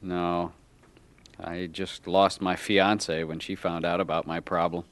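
A man's voice: sits low, little pitch movement, measured pace, dry recording. An actor playing an earnest, insecure man struggling with addiction in a radio drama, sometimes hesitant and defensive. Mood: weary, despondent. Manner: hesitant, stammering in dialogue. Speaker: lead actor and narrator. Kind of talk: radio drama acting and first-person narration.

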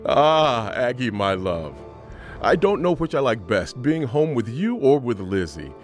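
A man's voice: medium pitch, big pitch swings, unhurried, soft background music. An actor playing a loving, tender enslaved father in an audio drama. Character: loving, tender enslaved father, deep-hearted family man.

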